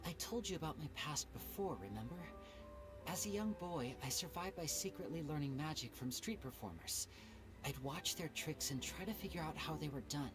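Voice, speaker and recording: A man's voice: medium pitch, close to an everyday voice. A man playing a suave young magician. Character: young male stage magician, suave and reflective. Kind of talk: video game voice acting.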